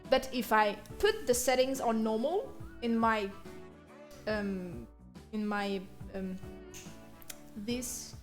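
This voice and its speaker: A woman's voice: fairly high pitch, slow, long pauses. A woman with a French accent giving a casual, chatty livestream drawing tutorial with playful, self-mocking asides. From France. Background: music.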